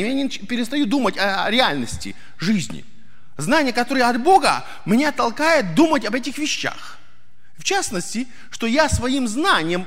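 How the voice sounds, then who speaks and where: A man's voice: high-pitched, fairly bright tone. A middle-aged man preaching a sermon, explaining earnestly and asking rhetorical questions of the congregation. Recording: hall reverb.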